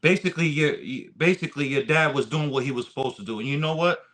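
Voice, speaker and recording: A man's voice: a little high, fast talker, fairly bright tone. An American man hosting a casual interview in an encouraging way, often repeating his phrases for emphasis. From United States, Rhode Island and San Diego. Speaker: interview host.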